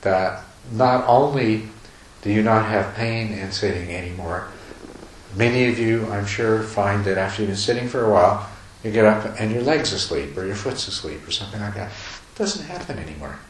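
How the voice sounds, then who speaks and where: A man with a lowish voice gives a dharma talk at a retreat, talking in loose, rambling sentences full of restarts and soft chuckles. His voice carries in an echoey room over a faint hiss. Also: animated, moderate pace. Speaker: meditation teacher. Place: retreat meditation hall.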